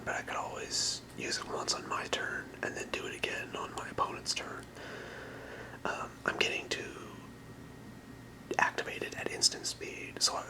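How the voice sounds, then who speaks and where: A person whispering in ASMR style, explaining things softly and without hurry as a creator presenting to viewers. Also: poor audio. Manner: soft, unhurried explaining in whispers. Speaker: ASMR creator presenting a trading card deck.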